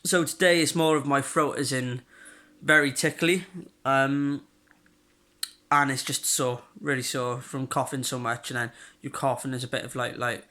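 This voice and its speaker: A man's voice: mid-range voice, fairly even intonation, normal speed, sharp tone. A young man, chatting in a rambling home video diary, congested and coughing with a sore throat. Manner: rambling, chatty, frequent fillers and restarts. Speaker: vlogger.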